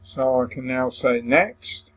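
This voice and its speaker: A man presenting a software tutorial, explaining step by step in a hesitant way. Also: medium pitch, takes it slowly. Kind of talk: software screen-recording walkthrough.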